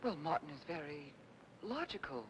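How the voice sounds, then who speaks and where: An actress playing a refined young widow, wary but playful, in 1940s film noir style. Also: female voice, big pitch swings, moderate pace. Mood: flustered, uneasy. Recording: noisy old film soundtrack with rumble.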